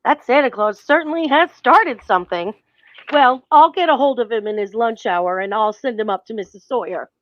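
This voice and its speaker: A woman's voice: on the high side, moderate pace, dry recording, muffled recording, natural voice. A woman acting a harried, sensible department store executive in a 1940s-style radio play, earnest and exasperated.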